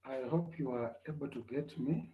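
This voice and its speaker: A man's voice: medium pitch, moderate pace, roomy sound, narrow, tinny audio. A man giving an online class lecture, repeating himself often and checking whether his students can hear him. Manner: repetitive, checks on listeners.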